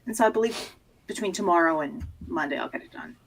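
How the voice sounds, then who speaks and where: A middle-aged woman chatting casually on a podcast, full of small restarts and trailing fillers. Her voice sits a little low, but her pitch swings widely and expressively from phrase to phrase. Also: animated, fast talker, vocal fry, husky, poor audio. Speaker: podcast co-host. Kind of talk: casual chat.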